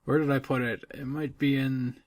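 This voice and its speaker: A man's voice: medium pitch, little pitch movement, moderate pace. A man co-hosting a podcast, chatting casually and informally about games, with some swearing. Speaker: podcast co-host. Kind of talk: casual gaming chat.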